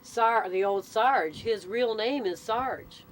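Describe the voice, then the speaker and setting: A middle-aged woman chatting casually, explaining things in an anecdotal storytelling way. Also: slow. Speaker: interviewee, CB club member. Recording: noisy amateur recording with vehicle rumble.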